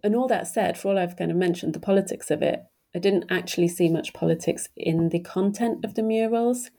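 A woman's voice: lowish voice, fairly quick, dark tone. A woman in her thirties, an author answering interview questions about her research with thoughtful, hesitant phrasing and frequent fillers.

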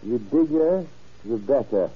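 An actor playing a courteous, formal businessman buying drugs in 1940s radio drama, speaking in deliberate, stilted polite phrases. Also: mid-range voice, lively intonation. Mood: businesslike, guarded. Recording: muffled, husky old radio recording.

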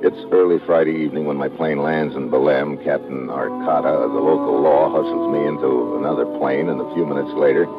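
An actor playing a tough, wisecracking American government agent in a 1950s radio drama, wry and clipped. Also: male voice, rumbling low, steady tone, brisk, hardly any pauses. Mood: hard-boiled. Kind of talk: 1950s radio drama acting, hard-boiled narration. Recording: muffled old radio recording, music behind.

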